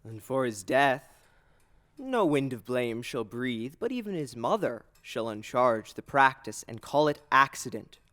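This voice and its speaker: A male actor playing a scheming, manipulative king in a Shakespearean audio drama, speaking in a deliberate, persuasive, theatrical way. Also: medium pitch, big pitch swings, pushed and bright. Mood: scheming, goading. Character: scheming usurper king, smooth, manipulative middle-aged man.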